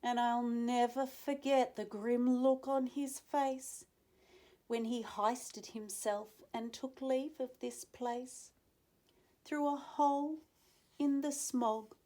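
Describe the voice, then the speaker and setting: A woman reading a children's story aloud expressively, with dramatic pauses and asides to young listeners. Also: sits high, fairly even intonation, dark tone. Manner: dramatic pauses, drawn-out phrasing.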